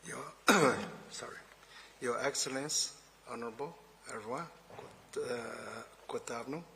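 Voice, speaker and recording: A man's voice: mid-range voice, a little slow, vocal fry, crowd noise. A man from a company introducing himself briefly, slightly flustered. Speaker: company staff. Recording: reverberant meeting hall.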